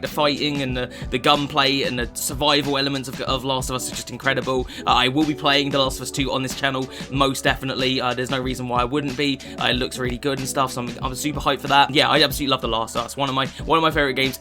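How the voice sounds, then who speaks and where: A twenty-one-year-old man, casually answering fan questions for his gaming channel, chatty and sweary, with many restarts. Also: medium pitch. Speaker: gaming YouTuber.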